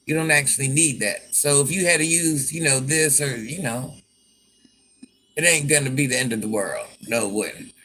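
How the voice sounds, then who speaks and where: A grandmother with a deep voice, chatting on a livestream and talking back to commenters with sass. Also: normal speed, a bit bright, a little hiss or hum. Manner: sassy, talks back to commenters by name. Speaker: livestream host. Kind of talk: casual livestream chat.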